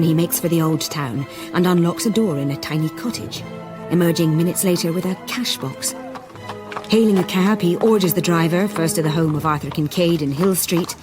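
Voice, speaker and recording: An actress as a composed storyteller, giving scripted dramatic narration for a gothic radio play. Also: female voice, lowish voice, natural voice. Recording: loud music under voice.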